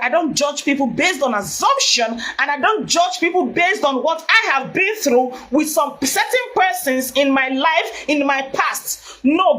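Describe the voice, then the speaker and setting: Nigerian woman live-streaming: naturally loud, high voice, presses her points hard. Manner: emphatic, repeats herself. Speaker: vlogger. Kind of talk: Facebook live video.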